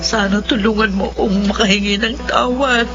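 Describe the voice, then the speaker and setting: A woman's voice: medium pitch, steady tone, normal speed, lightly acted. An actress playing a betrayed middle-aged mother in a melodramatic, tearful radio drama. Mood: ashamed, remorseful. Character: betrayed middle-aged mother, emotional, enraged then remorseful. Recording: muffled radio drama with loud music.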